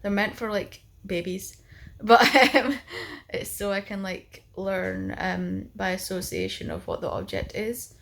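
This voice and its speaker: A young woman talks casually to her camera, her sentences wandering and packed with fillers. Her voice has a grainy, gravelly texture with a breathy, husky edge. She sits at a middling pitch for a woman. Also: animated, a little slow, fairly bright tone. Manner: rambling, full of "like" and "um". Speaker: vlogger.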